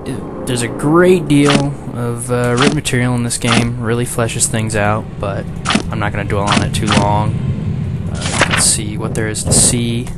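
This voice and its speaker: A man's voice: mid-range voice, flat delivery, moderate pace, very noisy. A man giving casual, off-the-cuff let's play commentary with wry, sarcastic asides. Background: loud game music and sound effects.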